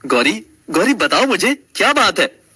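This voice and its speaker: A man playing a young husband in a family story, caring yet exasperated, emphatic and dramatic, with a husky voice. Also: high voice, very animated, unhurried, pushed and bright, full character voice. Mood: concerned.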